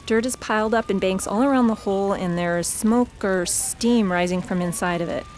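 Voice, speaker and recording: A woman's voice: medium pitch, moderate pace, darkish tone, soft background music, natural voice. A woman playing a conversational, slightly uneasy field reporter describing a scene live in a radio drama. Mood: uneasy, observant.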